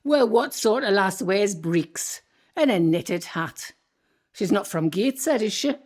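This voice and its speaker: A woman acting the sharp-tongued ghost of an old landlady in a radio play, with a Northumbrian accent and a scolding, bossy way of talking. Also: mid-range voice, expressive. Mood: indignant. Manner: scolding, bossy, quick to defend herself.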